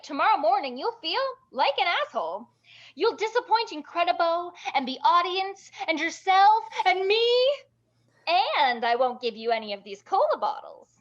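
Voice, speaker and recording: Woman playing squeaky-high, brash burlesque performer. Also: big pitch swings, moderate pace, fairly bright tone, lo-fi sound, exaggerated voice. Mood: pushy. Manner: gushing, bossy, foul-mouthed, emphatic. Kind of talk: animated table-read acting.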